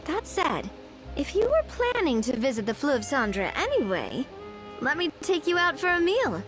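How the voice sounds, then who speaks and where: A woman's voice: high voice, huge pitch swings, normal speed, fairly bright tone, faint music behind, full character voice. A woman playing a friendly, poised young woman in an anime video game, breezy and reassuring. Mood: reassuring, cheerful.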